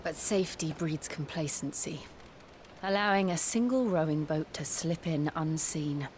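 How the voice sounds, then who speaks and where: An actress playing a grave, determined young woman in a video game, speaking in an earnest, measured way. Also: low-pitched, a little hiss or hum. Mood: guarded. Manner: earnest, measured, solemn delivery. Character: grave, determined young woman, former captive seeking closure. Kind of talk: dramatic video game voice acting.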